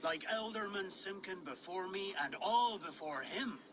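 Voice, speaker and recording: A man's voice: high voice, animated, unhurried, noisy background. A man playing a jovial, fatalistic medieval nobleman in a game, hearty and theatrical, with faint ambience behind him. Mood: serene, resigned. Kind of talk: video game cutscene acting.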